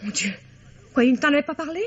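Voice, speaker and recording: A woman's voice: sits high, lively intonation, fast. A woman playing the emotional wife of a murdered man, pleading and protesting tearfully, in a dull lo-fi old radio drama.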